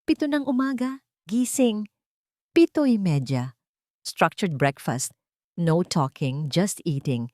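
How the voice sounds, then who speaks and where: A woman's voice: fairly low pitch, lively intonation, slow, pauses often, mellow, dry recording, studio quality. A woman with a Filipino accent voicing a haughty, strict child-therapy expert in an audiobook, commanding, clipped and condescending. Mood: strict, clinical. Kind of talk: audiobook character dialogue mixing Tagalog and English.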